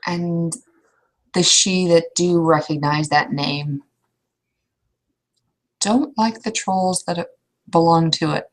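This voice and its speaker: Woman playing a blunt, world-wary troll mentor, lowish voice. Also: takes it slowly, long pauses. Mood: measured, explaining. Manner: blunt, informal, advising. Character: opinionated, world-wary elder troll mentor, advising a newcomer. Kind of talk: improvised in-character roleplay dialogue.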